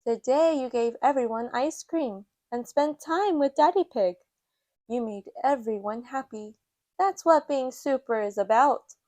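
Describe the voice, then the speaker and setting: A woman plays a gentle mother pig from a children's storybook. She is reassuring and takes her time, in a dry, close recording. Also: high-pitched, unhurried, no echo.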